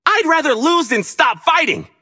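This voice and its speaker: Casting: youthful game brawler, high and very animated, cocky yet earnest, stubbornly determined, punchy. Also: male voice, moderate pace, raised voice, a little hiss or hum, heavily acted. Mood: fired up. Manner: spirited, defiant, punchy delivery. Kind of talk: video game character voice lines.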